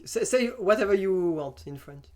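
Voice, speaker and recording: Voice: medium pitch, lively intonation, normal speed, a little mellow. Hesitant talk show host, mid-range voice. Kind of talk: casual esports talk show interview.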